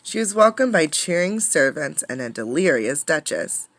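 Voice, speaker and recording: Woman, low-pitched voice, storybook read-aloud, dramatic emphasis, teacherly, close dry recording. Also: very animated, moderate pace, dry recording. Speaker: teacher reading a picture book aloud. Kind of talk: expressive storybook read-aloud with questions to listeners.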